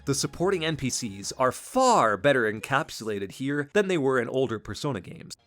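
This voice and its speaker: Animated man narrating a gaming essay. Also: mid-range voice, moderate pace, soft background music. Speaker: video game essayist and channel host. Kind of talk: scripted video essay narration.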